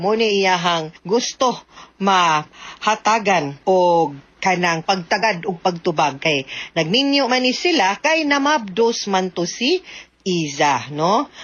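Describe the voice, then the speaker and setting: A woman radio host with a Cebuano Filipino accent gives advice in a chatty, emphatic way, slipping into English. Her voice sits on the high side. The audio is a little dull and lo-fi. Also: fairly bright tone. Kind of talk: conversational radio advice show.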